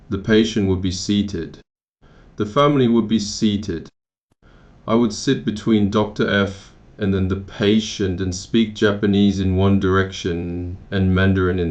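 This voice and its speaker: A man from Taiwan narrating his own memoir in a measured, matter-of-fact way, with occasional restarts. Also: deep voice. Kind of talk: first-person memoir narration.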